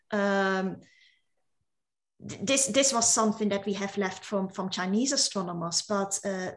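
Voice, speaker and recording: Woman astronomer explaining. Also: medium pitch. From Netherlands. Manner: enthusiastic, explanatory, some restarts. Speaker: astronomer guest. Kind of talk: explaining science in an online call.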